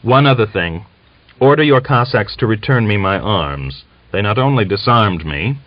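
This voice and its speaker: Man reading as an earnest, formal officer, low voice. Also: lively intonation, normal speed, close to an everyday voice. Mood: urgent, polite. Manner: formal, emphatic, persuasive. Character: earnest, anxious Russian officer defecting, formal, educated. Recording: dull lo-fi audiobook recording.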